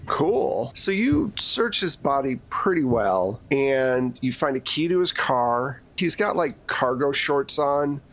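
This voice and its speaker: A man's voice: mid-range voice, very animated, takes it slowly, few pauses, a bit bright. A man narrating a tabletop roleplaying game as game master, setting scenes in a casual, conversational way.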